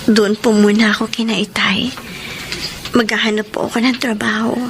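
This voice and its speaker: A woman's voice: mid-range voice, little pitch movement, normal speed, natural voice. A woman acting a guilt-ridden, emotional young wife who pleads, in a Filipino radio drama. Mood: subdued, grateful. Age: young adult. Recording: prominent music bed under the voice.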